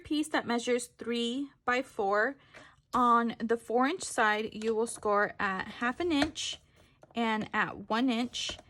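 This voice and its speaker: A woman's voice: a little high, slow pace, fairly bright tone, slight background noise. A woman giving a casual step-by-step craft tutorial, informal, correcting herself and thinking aloud as she goes.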